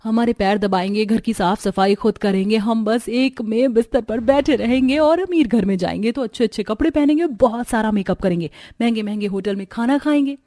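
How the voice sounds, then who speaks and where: A woman's voice: a little high, fairly quick, hardly any pauses, mellow, dry recording, studio quality, lightly acted. A woman playing a money-hungry young village sister in a cartoon story, emphatic and complaining. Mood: dreamy, determined. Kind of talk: Hindi cartoon story dubbing.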